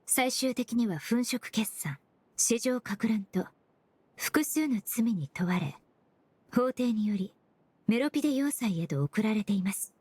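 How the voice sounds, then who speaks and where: Composed young woman investigator, higher voice. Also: a little slow, husky, no echo. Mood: coolly probing. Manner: calm, polite, methodical. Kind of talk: Japanese game dub dialogue.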